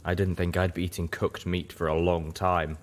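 A man's voice: low voice, level tone, moderate pace. A man reading a first-person story aloud as scripted narration. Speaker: audiobook reader, show host.

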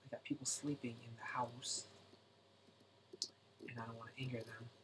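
Soft-spoken man streaming late at night. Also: mid-range voice, level tone, normal speed, slight background noise, rough recording. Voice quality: speaks softly to keep voice down. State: slightly raspy from singing. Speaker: livestream host drawing. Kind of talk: casual late-night livestream chat. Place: home at night.

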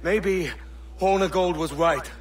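A man's voice: high-pitched, slow. A man playing a brash, blunt, exasperated pirate captain in a video game, cursing with scorn. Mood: bitter scorn. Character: brash, exasperated young pirate captain, hot-tempered but principled. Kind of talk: dramatic video game acting.

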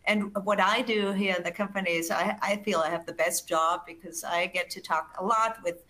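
A woman's voice: on the low side, fairly even intonation, normal speed, sharp tone, low-quality recording. A woman with an Austrian accent presenting research slides in a webinar over a video call, enthusiastic and often restarting words. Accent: Austrian German.

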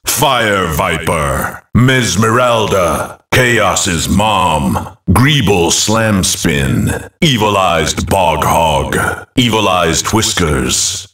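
A man's voice: deep voice, fairly bright tone, reverberant, distant mic, full character voice. A man playing a grandiose fantasy video game announcer, making emphatic, clipped, dramatic callouts. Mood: dramatic, announcing. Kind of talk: punchy short announcer callouts.

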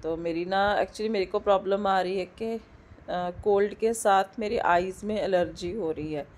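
A woman in her thirties with a hoarse throat from a cold, chatting loosely in a casual vlog voice-over from home and mixing in English words. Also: mid-range voice, a little slow, a little mellow. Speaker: vlogger. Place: indoors at home, recording the voice-over. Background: a dog barking now and then.

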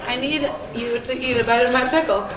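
A woman's voice: a little high, fairly quick. A young woman chatting casually, picked up at a distance from the camera. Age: young adult. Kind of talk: casual chat. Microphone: far camera. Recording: distant voice in loud crowd chatter.

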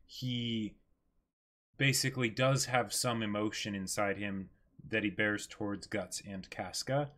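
A man's voice: fairly low pitch, fairly even intonation, measured pace, a bit bright. A man in his twenties co-hosting a read-along in a casual, reactive chatting style. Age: 20s. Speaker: co-host of a live read-along. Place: indoor room against a backdrop.

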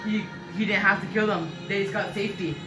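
A teenage girl vlogging casually, giggly and excitable, filming on a handheld phone. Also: mid-range voice, brisk, music in the background, rough recording. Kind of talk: casual vlog.

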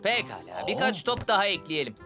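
Eager young cartoon circus showman, high swoopy voice, pushy and upbeat, music behind. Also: wildly swooping pitch, fairly quick. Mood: encouraging. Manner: upbeat, persuasive, showy. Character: enthusiastic young male circus magician, pushy showman coach. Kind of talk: dubbed children's cartoon acting.

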